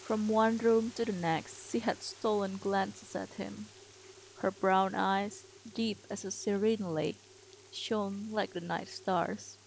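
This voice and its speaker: A woman narrating a story as a dramatic scripted reading, deliberate and theatrical. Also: mid-range voice, fairly even intonation, creaky, darkish tone, a little hiss or hum, poor audio.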